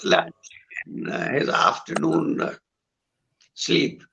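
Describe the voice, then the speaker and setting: An older man with a hoarse voice, a guest musician talking in a slow, halting way with many pauses over a video call. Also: on the high side, fairly even intonation, sharp tone, highs cut off, a little hiss or hum. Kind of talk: recalled conversation with singing.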